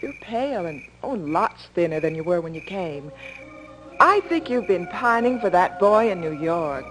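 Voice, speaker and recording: An actress playing a fussy, possessive married housewife and hostess in a 1950s style radio soap, on a humming old recording. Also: female voice, lowish voice, very animated, normal speed, over music, low-quality recording. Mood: probing, concerned.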